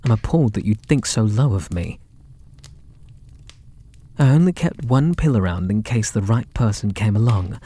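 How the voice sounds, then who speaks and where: An actor with a British accent playing a possessive, lovestruck kidnapper in an ASMR roleplay, sweet and doting in a theatrical, intimate way. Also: male voice, medium pitch, mellow, no echo. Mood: mock offended. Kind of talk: ASMR roleplay audio drama.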